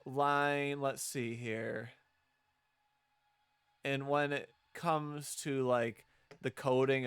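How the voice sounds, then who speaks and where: A man chatting casually on a livestream as he shows his own project, explaining things in a halting, rambling way. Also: a little high, a bit bright, smooth. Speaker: streamer showing own visual novel.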